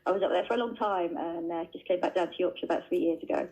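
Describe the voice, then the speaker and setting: A woman's voice: fairly low pitch, rapid-fire, a little mellow, rough recording. A woman children's author chatting as a radio guest from home, talking freely with lots of fillers and restarts. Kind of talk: casual interview answers. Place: at home, joining remotely. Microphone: remote line into radio studio.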